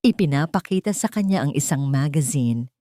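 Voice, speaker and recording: A woman with a Filipino accent reading a scripted romance story in a measured, dramatic way with short pauses between phrases. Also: sits low, lively intonation, mellow, no room sound, clean studio sound. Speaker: story narrator.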